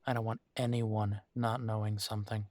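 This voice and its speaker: Low-voiced, earnest werewolf pack leader played by a man. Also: level tone, moderate pace, a little mellow, dry recording, natural voice. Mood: firm, resolute. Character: protective werewolf pack alpha, adult man, earnest, weary, determined. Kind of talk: intimate roleplay audio drama.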